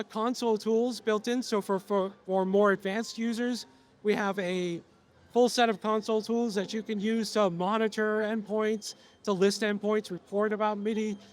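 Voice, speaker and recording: A man losing his voice, presenting software features to an audience at a trade show, explaining steadily with frequent filler sounds. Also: high voice. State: losing his voice, coughing now and then.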